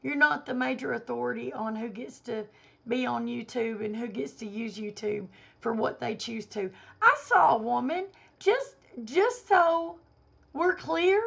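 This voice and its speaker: A woman vlogger airs an emphatic, indignant opinion straight to camera. Her voice is hoarse and gravelly from a cold, sitting a little high. The audio is dull and lo-fi.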